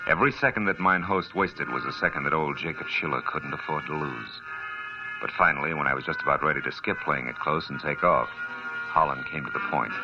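An actor playing a wisecracking hard-boiled private detective who narrates a 1940s radio drama. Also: male voice, extremely low pitch, a little fast. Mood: wry. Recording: dull old radio recording with music.

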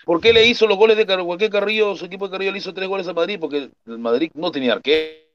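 A man's voice: high voice, racing, highs cut off, a crowd in the background. A man on a football panel, cracking teasing, mocking jokes about players. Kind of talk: casual football banter.

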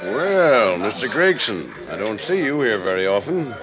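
An actor playing a jovial, teasing saloon keeper with a folksy manner in a classic radio Western. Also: male voice, lowish voice, very animated, moderate pace, loud music behind the voice. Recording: dull old radio recording, crowd noise.

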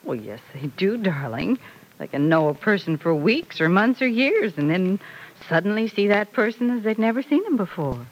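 An actress playing a patient, affectionate middle-aged mother in a classic radio soap, gently probing and coaxing with concern. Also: medium pitch, very animated, moderate pace, rough recording. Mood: gently questioning. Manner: coaxing, questioning, tender endearments. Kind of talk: mid-century radio soap acting.

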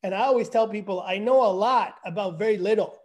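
High-voiced chatty man in his fifties. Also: quick pace. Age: 50s. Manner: chatty, self-deprecating. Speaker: guest, forensic evaluator. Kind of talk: casual conversation.

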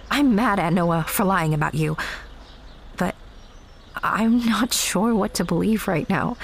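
A woman's voice: medium pitch, slight background noise. A young woman playing a candid, skeptical theater girl in a naturalistic audio drama, conversational but pointed.

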